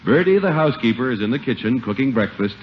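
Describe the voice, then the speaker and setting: A man's voice: medium pitch, lively intonation, fast, very noisy. A man announcing and narrating a 1950s radio show in scripted style. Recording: muffled old radio recording, loud music.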